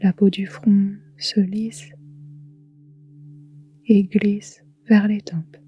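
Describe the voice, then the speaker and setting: A woman guiding a relaxation meditation in a soft, whispery, calm and soothing voice, leaving long pauses. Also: medium pitch, highs cut off. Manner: soft, breathy, whispery delivery. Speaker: yoga nidra guide.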